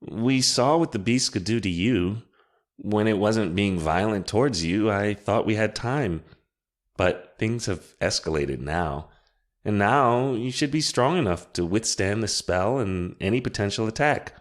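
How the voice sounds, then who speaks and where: A man voicing a calm, steady female witch in an audiobook, speaking in a measured, reassuring way. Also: on the low side, pitched above the performer's own voice. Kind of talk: audiobook character voice.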